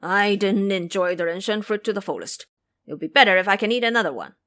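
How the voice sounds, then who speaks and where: A woman's voice: medium pitch, animated, fast talker, a bit bright, lightly acted. A woman voicing a greedy male pig-monk who grumbles and complains. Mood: grumbling. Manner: complaining, muttering.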